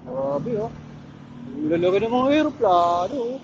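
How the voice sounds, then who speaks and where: A man with a Filipino accent chatting casually and haltingly as a motorcycle vlogger, recorded on a wireless mic while riding. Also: high voice, lively intonation, vocal fry. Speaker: motorcycle vlogger and ride-hailing rider. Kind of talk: casual motovlog chat. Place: outdoors on a motorcycle on city roads. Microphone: wireless Bluetooth mic while riding. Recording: dull wireless mic, loud traffic noise. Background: loud vehicle and road noise.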